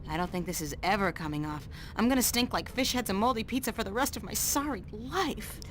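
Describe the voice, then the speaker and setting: An actress playing a young woman adventurer in a video game, wry and expressive. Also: mid-range voice, a little fast, a little hiss or hum, close to an everyday voice. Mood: disgusted complaining. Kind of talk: video game character acting.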